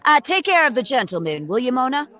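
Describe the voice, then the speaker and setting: A woman's voice: sits high, sing-song, fast talker, a bit bright. Brusque, tough health club boss played by a woman. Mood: brisk, businesslike. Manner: brusque, no-nonsense. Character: tough, burly female health club owner, ex-wrestler, brusque. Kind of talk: hard-boiled detective audio drama.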